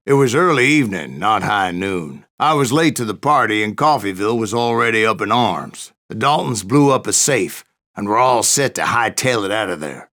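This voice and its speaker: An actor playing a grizzled old gunslinger in a Western video game, spinning wry, folksy tales in a gravelly American Western accent. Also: male voice, on the low side, pushed and bright, heavily acted. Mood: correcting, grudging. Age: older. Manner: folksy, wry, salty-tongued raconteur.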